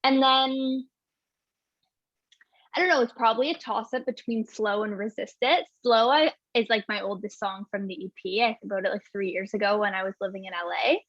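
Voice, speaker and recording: A woman's voice: mid-range voice, moderate pace, fairly bright tone, no echo. Young female singer, casual and bubbly, constant "like", lo-fi dry audio over a video call. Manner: bubbly, says "like" constantly, laughs often. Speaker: singer-songwriter guest. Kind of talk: casual interview chat. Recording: dull, dry, lo-fi sound.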